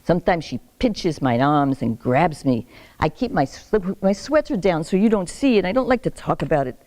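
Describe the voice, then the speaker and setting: A sharp-tongued old grandmother, played by an actress, scolding and joking in a stage monologue. Her voice is warm and mellow. Her pitch swings up and down. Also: expressive, normal speed, lightly acted. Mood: frightened. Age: older. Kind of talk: theatrical monologue.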